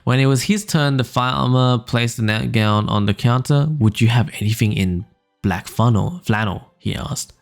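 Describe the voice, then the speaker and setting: A young man hosting a casual podcast, reading funny stories aloud in a smooth low voice, rambling with fillers and small laughs. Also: normal speed. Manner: relaxed, rambling, frequent fillers and little laughs. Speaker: podcast host. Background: faint background music.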